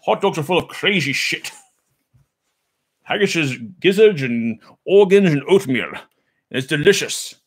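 A man's voice: expressive, a little slow, pauses often, breathy edge. A man putting on a boisterous Scottish ranter. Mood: indignant. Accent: put-on Scottish. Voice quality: slight lisp in the put-on voice. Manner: loud, emphatic, higher-pitched. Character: boisterous Scottish man ranting about food.

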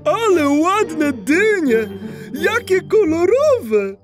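Slow, excitable little animal boy. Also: very high-pitched, music in the background, exaggerated voice. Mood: delighted wonder. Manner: enthusiastic, drawn-out. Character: wide-eyed, enthusiastic young male animal schoolchild. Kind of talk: children's audio drama acting.

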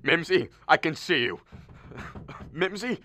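A man acting an exasperated middle-aged father in a radio play, loud, sarcastic and hectoring. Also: a little high, lively intonation, takes it slowly, full character voice. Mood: angry, shouting. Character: exasperated, blustering middle-aged father on his daughter's wedding day.

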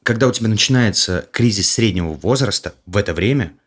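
A man's voice: fairly low pitch, animated, fast, fairly bright tone, slightly rough. A man of 38 in casual podcast chat, talking in a rambling, reflective way with many restarts, at a desk mic in a room. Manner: rambling, reflective, self-interrupting. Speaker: podcast guest. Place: room with a round table. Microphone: desk mic on a stand.

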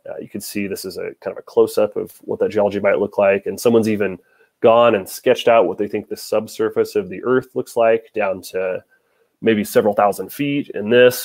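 A young man with an American accent and a fairly low voice gives an informal science lecture. He explains step by step, posing questions and then answering them. He speaks over a video call from indoors. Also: creaky, darkish tone. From Nevada. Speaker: hydrologist giving a lecture.

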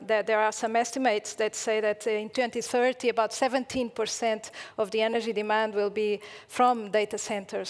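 A middle-aged woman answering as a panelist on a stage, hesitant with many fillers. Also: on the high side. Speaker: panelist, energy expert. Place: conference stage, indoors. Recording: reverberant stage room.

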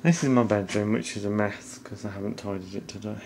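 A middle-aged man giving a casual, low-key, off-the-cuff house tour as he walks around indoors with a handheld camera. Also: steady tone, fairly quick, gravelly. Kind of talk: casual off-the-cuff narration while filming. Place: indoors, walking through rooms of a house. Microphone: handheld camera at arm's length. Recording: noisy handheld camera audio.

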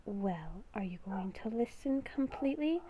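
A woman voicing a mysterious, insistent inner voice in a breathy whisper, cryptic and calmly persistent, for a game roleplay. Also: mid-range voice, moderate pace, highs cut off, slight background noise, natural voice.

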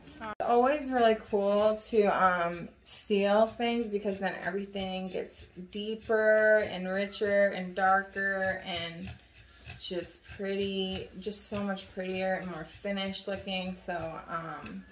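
A woman's voice: mid-range voice, fairly even intonation, takes it slowly. A woman in her thirties chatting casually as she paints furniture, gushing, with lots of fillers. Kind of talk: casual how-to narration while working. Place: tiled room indoors at home. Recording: echoey room, distant mic, noisy.

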